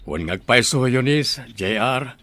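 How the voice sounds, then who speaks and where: A man's voice: medium pitch, expressive, slow, a bit bright. A man playing a devoted, conciliatory middle-aged husband and father in a radio drama, with an Ilocano Filipino accent. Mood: reassuring.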